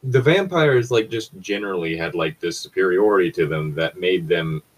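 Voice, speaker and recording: A man's voice: sits low, expressive, normal speed, breathy edge. A man chatting casually and bluntly, swearing freely and arguing his points. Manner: profane, argumentative. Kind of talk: casual opinionated chat.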